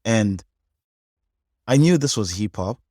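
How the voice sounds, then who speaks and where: A man's voice: fairly low pitch, expressive, takes it slowly, darkish tone, no room sound, studio quality. A man, a podcast host making a careful, articulate argument. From Nigeria. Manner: articulate, argumentative, builds points in lists. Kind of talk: thoughtful interview talk.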